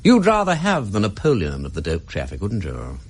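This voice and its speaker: An urbane upper-class English gentleman sleuth for radio drama. The voice is husky and hugely animated, rising and dropping within a sentence. His manner is languid, witty and erudite. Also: fairly low pitch, normal speed, full character voice. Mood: musing, wry.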